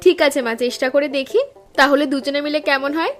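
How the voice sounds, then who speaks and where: A woman's voice: high voice, fast, natural voice. Woman voicing a polite elder daughter-in-law. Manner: polite, eager, respectful. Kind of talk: cartoon voice acting.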